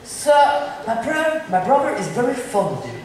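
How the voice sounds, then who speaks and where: A man's voice: very high-pitched, huge pitch swings, moderate pace, heavily acted. A young man playing a scheming prince's brother in amateur stage Shakespeare, recorded from afar in an echoey hall. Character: scheming, smooth-lying villain, the prince's brother. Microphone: far camera. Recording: distant, echoey hall, faint noise.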